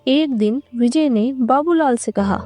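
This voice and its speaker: A woman reads a scripted tale as narrator. Her voice sits fairly high yet has a very soft, mellow tone, and she takes her time over each line. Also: measured pace, soft background music. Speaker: story narrator.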